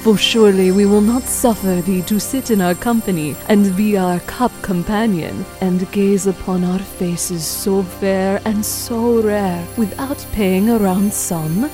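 A woman's voice: medium pitch, steady tone, a little slow, hardly any pauses, dark tone, faint music behind, natural voice. A woman playing a haughty, formal eldest lady of the house in an audio drama. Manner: formal, commanding.

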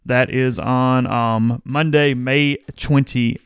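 A man co-hosting a podcast in a studio, easygoing and slightly hoarse, mixing casual talk with ad reads. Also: mid-range voice, slow pace, dry recording. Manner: relaxed, easygoing. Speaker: podcast co-host. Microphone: studio microphone.

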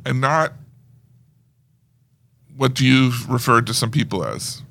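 A man's voice: mid-range voice, slow, gravelly, fairly bright tone. A man co-hosting a podcast, chatting casually and wryly about movie news. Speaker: podcast co-host.

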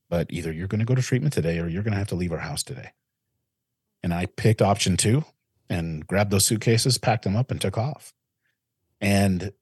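Deep husky-voiced man, earnest. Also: darkish tone, no echo, clean studio sound. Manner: earnest, reflective, with repeated restarts. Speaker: interventionist guest. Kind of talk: casual interview talk.

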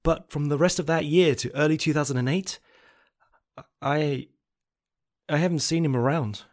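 A man playing a desperate, obsessed confessor in a horror story, halting and repeating words. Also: a little high, natural voice. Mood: troubled, wistful. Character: unstable, haunted anonymous confessor on tape, desperate and obsessed. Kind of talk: dramatic first-person horror monologue.

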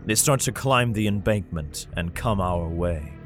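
An actor playing a grim, weary soldier who narrates his ordeal in an audio drama, grave and measured. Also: low-pitched, expressive, soft background music. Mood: strained, grave.

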